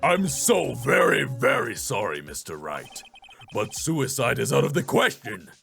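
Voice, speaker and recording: A man's voice: mid-range voice, very animated, unhurried, vocal fry, a bit bright, faint music behind, heavily acted. Man acting a haughty, ruthless villain prosecutor. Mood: smug. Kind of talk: video game character voice.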